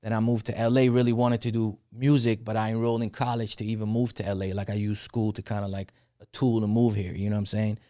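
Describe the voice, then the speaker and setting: Laid-back low-voiced young man. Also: flat delivery, quick pace, dark tone, dry recording, clean studio sound. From Netherlands. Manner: laid-back, peppered with "you know what I'm saying". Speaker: rapper and podcast guest. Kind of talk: casual interview conversation.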